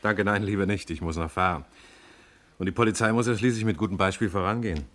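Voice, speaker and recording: Male police detective for vintage radio crime play: low voice, calm, courteous, probing. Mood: relaxed, curious. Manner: measured, courteous questioning. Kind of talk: 1950s German radio crime drama acting.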